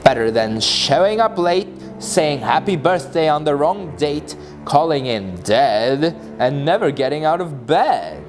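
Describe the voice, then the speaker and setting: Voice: medium pitch, sing-song, unhurried, hardly any pauses, faint music behind. A mischievous narrator of unclear sex giving a theatrical, gleeful dramatic reading of comic verse. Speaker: author and reader.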